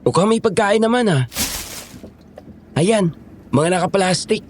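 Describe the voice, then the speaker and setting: A resigned, caring Filipino man working as a cook acted by a man in an audio drama. His voice is high for a man. Faint noise is heard behind it. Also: animated, unhurried.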